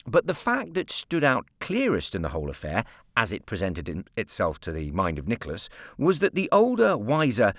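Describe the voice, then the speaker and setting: A British man narrating a story as an audiobook reader, expressive, measured and wryly articulate. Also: medium pitch, no echo, clean studio sound.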